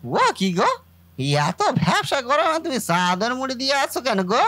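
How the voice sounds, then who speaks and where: A woman plays a hardworking village sweet-seller man in a cartoon. The delivery swings widely in pitch, chatty, good-natured and rustic with muttered asides, recorded dry and close. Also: sing-song, a little fast, a bit bright, dry recording, heavily acted. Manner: rustic, colloquial, muttering to self. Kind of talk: cartoon voice acting.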